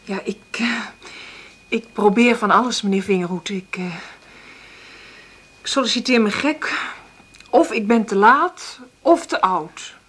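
A middle-aged mother short of money in a radio play. Her voice is breathy and husky at an ordinary pitch. She speaks in an understated, hesitant way, gentle yet stubbornly hopeful. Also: unhurried. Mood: hesitant, pleading. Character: hard-up, dreamy single mother, gentle but stubbornly hopeful. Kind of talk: naturalistic radio drama acting.

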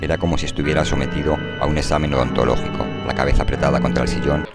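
Very deep, gravelly male narrator over music. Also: fairly even intonation, racing. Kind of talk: dramatized literary narration for radio. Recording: prominent music bed under the voice. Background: loud music.

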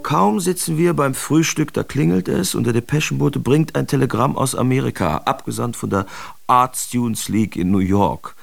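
Creaky-voiced artist recounting nightmares. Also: male voice, mid-range voice, moderate pace, no room sound. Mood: matter-of-fact. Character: German male artist telling his absurd nightmare, wry, uneasy. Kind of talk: radio drama first-person narration. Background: music behind the voice.

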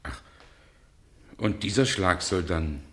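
An actor playing a suave, earnest gentleman in a radio drama, courteous and reassuring. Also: deep voice, slow pace. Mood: inquiring, courteous.

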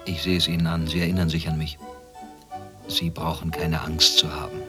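An actor in a radio drama playing a former state security officer, controlled and insinuating, with quiet menace. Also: male voice, rumbling low, little pitch movement, moderate pace, music in the background. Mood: insinuating, falsely soothing. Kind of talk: German radio drama acting.

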